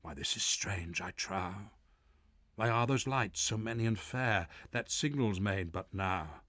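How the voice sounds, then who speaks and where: A man's voice: on the low side, a little slow, pushed and bright, no room sound. A man voicing a hearty, pious old hermit who exclaims in archaic, wondering speech in a poetry reading.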